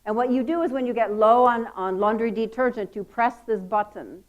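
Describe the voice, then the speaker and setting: A woman with a loud voice giving a lively, chatty conference talk. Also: a little high, fairly quick. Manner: lively, chatty, self-deprecating. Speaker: conference speaker. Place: conference hall.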